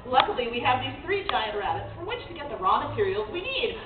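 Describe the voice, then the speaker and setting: Woman as high, animated young inventor heroine. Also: quick pace, distant mic. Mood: confident. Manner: emphatic, self-assured, exasperated. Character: brisk young female mad-scientist inventor heroine. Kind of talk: live comic radio play acting. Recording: echoey, tinny live stage recording, some noise.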